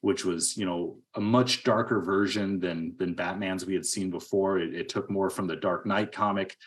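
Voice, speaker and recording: A man in early middle age co-hosting a podcast, talking casually about films in a halting, rambling way. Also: low voice, fairly even intonation. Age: 30s or 40s. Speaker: podcast co-host. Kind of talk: casual film discussion.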